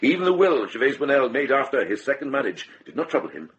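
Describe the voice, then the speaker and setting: An actor playing a shrewd, compassionate middle-aged monk in a radio drama, speaking with grave, measured deliberation. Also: male voice, mid-range voice, very animated, a bit bright, highs cut off, slight background noise. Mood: grave, accusing.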